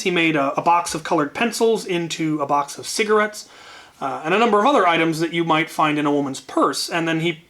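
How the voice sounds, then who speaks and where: A man's voice: on the high side, a bit bright. A man telling an anecdote casually as a channel host, wryly, often repeating words as he gathers his thoughts.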